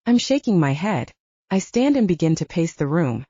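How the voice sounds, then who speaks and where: A woman reading fiction as a first-person narrator, with expressive, measured storytelling. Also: fairly low pitch, husky, no echo, lo-fi sound. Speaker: audiobook narrator.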